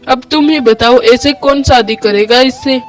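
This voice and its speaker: Cartoon mom: kind old widow, worried and pleading, high voice, played by a woman; music beneath. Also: unhurried. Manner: pleading, motherly. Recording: music bed under the voice.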